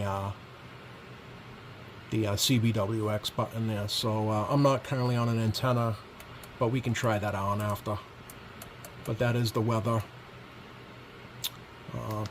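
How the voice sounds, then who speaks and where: A man giving a casual hands-on product demo, speaking informally and a bit hesitantly as he thinks aloud. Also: mid-range voice. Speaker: product reviewer. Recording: clear steady background noise.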